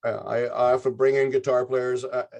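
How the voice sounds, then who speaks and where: A man's voice: medium pitch, level tone, normal speed, gravelly, darkish tone. Easygoing older man telling band stories. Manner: rambling, enthusiastic, laid-back storytelling. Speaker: guest musician, drummer. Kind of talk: casual interview talk.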